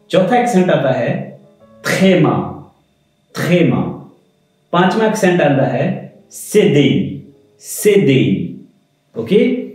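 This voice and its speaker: Slow, patient man in an echoey room. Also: a little high, a little mellow. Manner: slow, patient explanation, repeating key words. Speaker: French teacher. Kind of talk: explaining to viewers.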